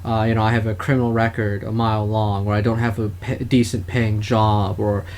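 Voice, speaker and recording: A man speaking as a podcast host in an opinionated political discussion, with halting, wordy, formal phrasing. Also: fairly low pitch, level tone, darkish tone. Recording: clearly noisy background.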